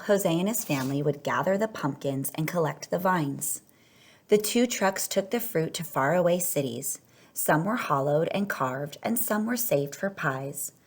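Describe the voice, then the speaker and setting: Lady with a lowish voice doing a kids storytime read aloud, expressive, draws out words. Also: breathy edge. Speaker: storyteller reading a children's picture book aloud.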